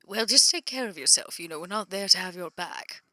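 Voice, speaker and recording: A woman playing an exasperated, sarcastic vampire businesswoman in an improvised roleplay, with a halting, dry delivery. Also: fairly low pitch, animated, pushed and bright, dry recording. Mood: wry, concerned. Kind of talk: improvised tabletop roleplay, phone conversation.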